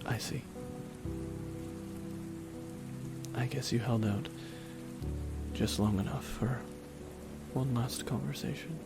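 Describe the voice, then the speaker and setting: A man voicing a grieving supervillain, bitter yet tender, in a slow, halting ASMR roleplay monologue. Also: mid-range voice, gravelly. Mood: quiet sorrow. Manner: slow, halting delivery with heavy pauses. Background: rain and music.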